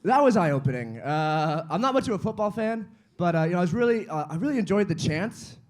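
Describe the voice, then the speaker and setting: American stand-up with a slightly high voice. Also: male voice, lively intonation, fairly quick. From United States, small-town Ohio. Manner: self-deprecating, chatty, asks the crowd questions. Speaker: stand-up comic. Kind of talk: stand-up set. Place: comedy club stage.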